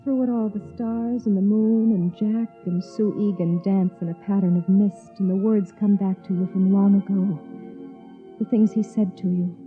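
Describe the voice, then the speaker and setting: An actress playing a frightened, remorseful young wife who narrates in a hushed, emotional 1950s radio drama style. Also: female voice, mid-range voice, steady tone, moderate pace, lightly acted. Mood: dreamy, tender. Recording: tinny 1950s radio recording, music behind.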